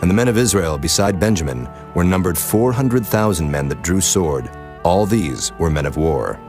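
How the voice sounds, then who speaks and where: Deep-voiced male scripture narrator. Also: lively intonation, darkish tone. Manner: solemn, measured. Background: music.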